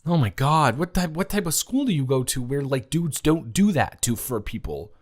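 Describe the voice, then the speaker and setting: A young man playing a new classmate in a romance audio drama, speaking to the listener in a halting, flustered way, overwhelmed and stumbling over his words. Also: fairly high pitch, big pitch swings. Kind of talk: one-sided audio roleplay to the listener.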